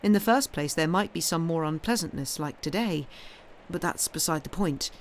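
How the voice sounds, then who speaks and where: A woman voicing a young woman in an audiobook, frank and guarded, gently teasing, with a hesitant delivery. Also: deep voice, expressive, normal speed, a little hiss or hum, voice pushed down. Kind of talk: dramatised audiobook reading.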